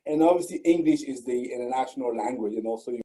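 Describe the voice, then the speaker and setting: A man's voice: mid-range voice, fast, warm tone, low-quality recording. A man hosting an online interview, speaking formally and repeating his phrases for emphasis. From Cameroon. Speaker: host and channel founder.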